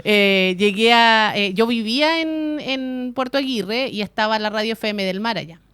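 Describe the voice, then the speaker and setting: Chilean woman in her 30s chatting on local radio, laughs a lot, crisp voice, no room echo. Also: on the high side, normal speed, a bit bright. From Chile, Aysén region. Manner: chatty, laughs easily, colloquial. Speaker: guest, city councillor and radio announcer. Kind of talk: casual radio interview. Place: radio studio.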